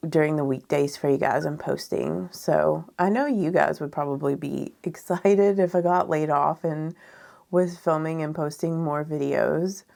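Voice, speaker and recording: Woman in her thirties, low-pitched, froggy and gravelly, casual rambling beauty vlog chat. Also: measured pace, dark tone. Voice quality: raspy, froggy. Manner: candid, rambling, frequent "like". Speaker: beauty vlogger. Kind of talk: casual chat during makeup tutorial. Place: small furnished room indoors.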